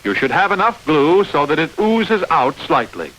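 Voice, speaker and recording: A man reading scripted instructional narration for a training film, explaining step by step in a clear manner. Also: on the high side, very animated, normal speed, fairly bright tone, rough recording. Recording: hissy old training film soundtrack.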